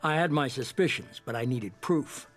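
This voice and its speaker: An actor playing a shadowy, calculating middle-aged leader in a sci-fi game, speaking with measured, composed authority. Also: male voice, mid-range voice, expressive, soft background music, close to an everyday voice. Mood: cool, measured. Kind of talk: cinematic sci-fi game dialogue.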